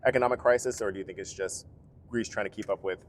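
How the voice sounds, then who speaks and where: An American man asks questions eagerly, in a rushed, chatty stream full of fillers. His voice is fairly low, with a faint hum of ambient noise behind it. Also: fairly even intonation. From United States. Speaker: podcast host. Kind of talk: casual interview. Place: inside a car.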